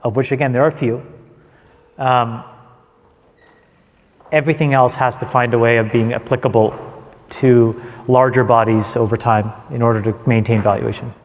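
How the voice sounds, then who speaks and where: A man's voice: mid-range voice, steady tone, normal speed, long pauses. A man co-hosting a live panel, explaining points by posing questions and answering them himself. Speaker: podcast co-host on panel. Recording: reverberant conference hall.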